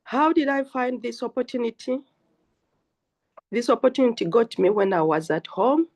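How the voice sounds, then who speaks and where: An older woman with a Ugandan English accent, slowly telling her own story as guest speaker on a group call. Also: fairly high pitch, a little mellow. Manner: slow, earnest storytelling. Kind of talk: personal testimony on a group call.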